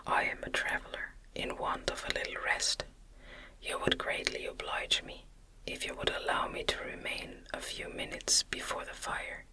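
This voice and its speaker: A woman's voice: normal speed, pushed and bright, natural voice, rough recording. A woman voicing a lonely, anguished male outcast creature in a whispered audiobook, formal and pleading. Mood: polite, humble. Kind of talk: whispered ASMR audiobook reading.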